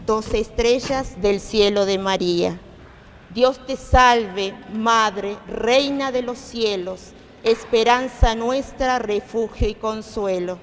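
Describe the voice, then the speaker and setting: A woman reciting devotional verses in a solemn, measured manner, as the leader of a prayer. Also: on the high side. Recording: long reverberant hall, faint noise.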